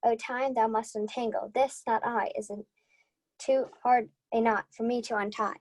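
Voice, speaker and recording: Young woman as an earnest, fairly high-voiced disguised heroine. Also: fairly even intonation, normal speed, darkish tone, natural voice. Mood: troubled, lovelorn. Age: young adult. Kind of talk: 1940s radio play acting of Shakespeare.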